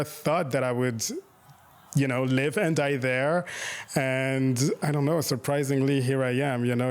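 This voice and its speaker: A man in his thirties speaks as an interview guest, thoughtful and unhurried. He circles back and restarts his sentences while searching for the right words. His voice sits at an ordinary middle pitch. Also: darkish tone, smooth. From Rwanda, raised in Belgium. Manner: reflective, with many fillers and restarts. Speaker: guest, makeup artist and photographer. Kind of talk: casual interview conversation.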